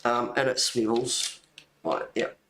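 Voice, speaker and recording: A man's voice: medium pitch, animated, takes it slowly. A man with an Australian accent chatting casually as a livestream host, rambling and easily sidetracked. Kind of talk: casual chat. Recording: roomy echo, faint noise.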